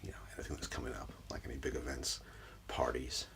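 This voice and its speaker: A man speaking in soft, breathy, often whispered ASMR style, gently soothing and reassuring like a practitioner calming a client. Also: a bass voice, fast. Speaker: ASMR host, role-playing Reiki practitioner. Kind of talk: soft-spoken ASMR, personal-attention session. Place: small room indoors.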